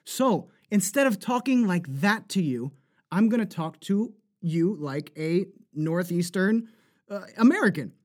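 A man with a New Jersey accent, talking as an animated voice actor guest who laughs often and slips into accent demos. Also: sits high, measured pace. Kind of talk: casual interview chat.

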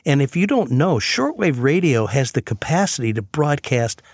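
A man, hosting a radio conversation and explaining things in a measured way. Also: medium pitch, sing-song, breathy edge, no echo. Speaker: radio show host.

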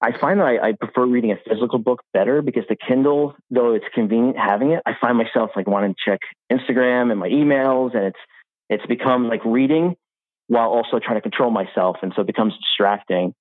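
A man hosting a podcast, chatting in a relaxed way with many "like" fillers. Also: medium pitch, a little fast, no room sound. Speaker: podcast host. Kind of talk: casual interview chat. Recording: tinny phone-like line.

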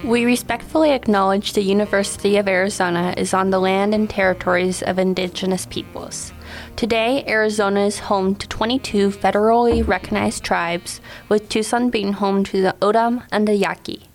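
A young woman in her teens speaks on a podcast panel. Her pitch is middling, and she sounds like a student reading or giving her thoughts. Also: steady tone, moderate pace, faint music behind, rough recording. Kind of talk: scripted reading and hesitant discussion.

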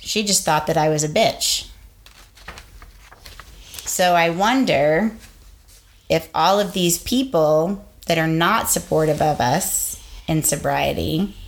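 A woman in her forties hosting a podcast, talking slowly and candidly about her own experience. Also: low-pitched. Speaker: podcast host. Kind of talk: casual conversation.

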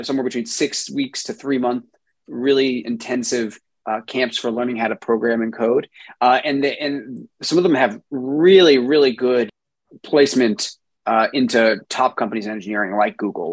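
A man from New Jersey, a software engineer answering interview questions in a relaxed way, with many ums and restarts. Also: mid-range voice, husky, no room sound. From New Jersey, USA.

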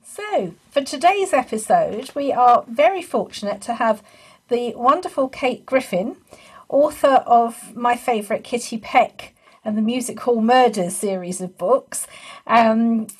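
Female podcast host, higher pitch, husky edge, chatty interviewing style. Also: lively intonation, unhurried, a little mellow, poor audio. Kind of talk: scripted intro and casual interview chat. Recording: remote conversation over video call.

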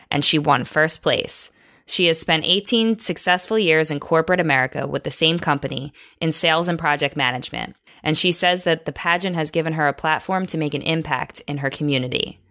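Low, bright-voiced woman host. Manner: polished, measured delivery. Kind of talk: scripted introduction and interview questions.

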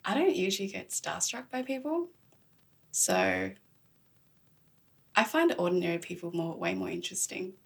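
Low, gravelly-voiced woman podcast host. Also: wildly swooping pitch, normal speed, pauses often. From Australia. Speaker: podcast host, interviewed as guest. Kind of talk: casual conversational interview.

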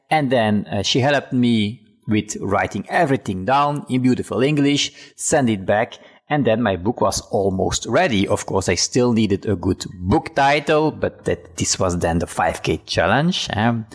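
Husky low-voiced man hosting a podcast. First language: Dutch. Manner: relaxed, chatty, frequent fillers and restarts. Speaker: podcast host. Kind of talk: casual personal storytelling monologue.

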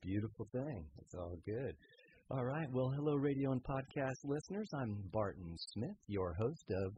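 A man's voice: medium pitch, very animated, moderate pace, dark tone, highs cut off, slight background noise. A man hosting a radio and podcast interview, chatting casually in an effusive, agreeable way.

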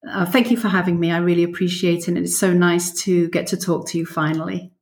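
A woman's voice: fairly low pitch, flat delivery, fast talker, darkish tone. A woman in her sixties with an English accent, a podcast guest telling her own story conversationally. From London, England. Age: 60s.

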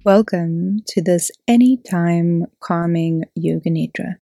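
A woman yoga teacher leading a guided relaxation in a soothing, unhurried, gently instructing way. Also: on the low side, creaky, no room sound, clean studio sound, faint music behind. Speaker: yoga teacher and podcast host. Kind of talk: guided relaxation meditation narration.

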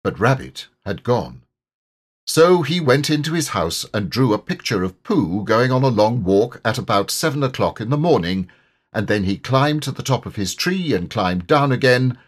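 A man reading a children's story as an audiobook narrator, in an expressive, measured way. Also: on the low side, slightly rough. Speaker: audiobook reader.

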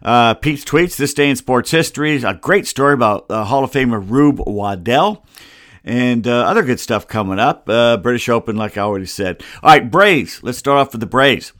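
A man's voice: mid-range voice, lively intonation, normal speed, a bit bright, no room sound. An American man presents a casual sports podcast into a close computer mic, with a very dry sound. He grumbles wryly and wanders into asides as he talks through the sports news. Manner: grumbling, wry, rambling asides. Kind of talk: casual solo sports commentary. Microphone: close computer microphone.

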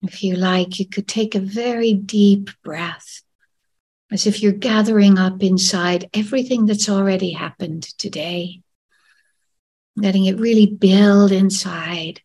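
A woman guides a meditation very slowly and gently. Her voice is soft, breathy and husky, with a creaky fry at the edges. She often lets phrases fade toward a soothing whisper. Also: medium pitch, fairly even intonation.

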